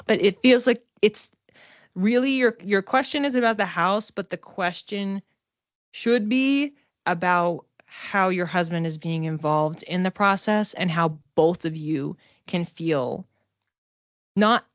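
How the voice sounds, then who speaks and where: Low-voiced woman giving a psychic reading. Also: no echo, lo-fi sound. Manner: conversational, halting, repeats phrases as she thinks aloud. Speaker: psychic reader. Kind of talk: casual one-to-one oracle card reading.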